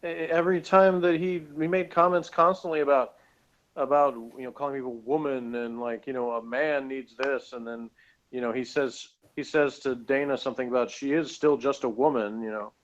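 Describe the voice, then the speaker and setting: A man with a medium-pitched voice talks casually, as in a review discussion. He argues in a halting way, often rewording himself. The recording is dull and lo-fi. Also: steady tone. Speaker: podcast co-host reviewing TV episodes. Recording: dull lo-fi audio.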